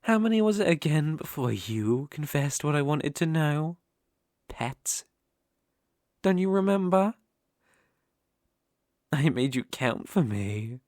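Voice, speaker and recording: A man with a British accent voicing a possessive, sadistic dark elf slave master in an intimate ASMR roleplay, taunting and condescending as he lingers on his words. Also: on the high side, very animated, slow pace, long pauses, no echo, studio quality. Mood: smug, taunting.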